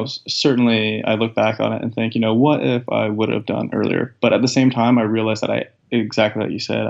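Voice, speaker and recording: A man in his twenties, a guest in an interview, speaking in a casual, reflective way with a deep, raspy voice. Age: 20s. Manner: reflective, thoughtful, many fillers. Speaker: guest, professional soccer player. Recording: dull lo-fi sound.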